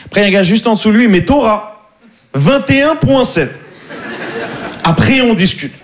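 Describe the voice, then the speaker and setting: Animated young stand-up comedian. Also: male voice, high-pitched. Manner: animated storytelling, slows down for punchlines. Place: comedy club stage.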